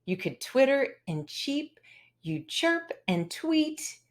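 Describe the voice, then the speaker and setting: A woman's voice: sits high, sing-song, slow pace. A woman hosting a read-aloud, narrating a children's storybook. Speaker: read-aloud channel host.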